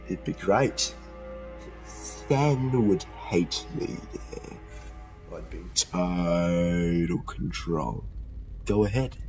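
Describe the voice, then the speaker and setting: An actor playing a troubled murder suspect with two personalities, brooding and erratic, in a modern radio play. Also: male voice, low-pitched, very slow, over music, full character voice. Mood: taunting, bitter.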